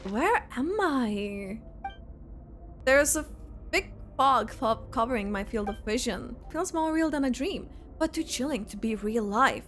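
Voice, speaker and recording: A woman streamer reacting live to a game and reading its text aloud in an expressive, exclamatory way. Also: on the high side, unhurried, a little hiss or hum. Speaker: gaming streamer. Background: game music.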